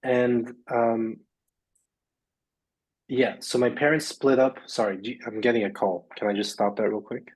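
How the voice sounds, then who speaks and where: A man's voice: mid-range voice, steady tone, unhurried, a little mellow, slightly rough. A man raised in Luxembourg, a sports agent, chatting casually as a podcast guest, rambling with many fillers and restarts. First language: English. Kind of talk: casual interview chat.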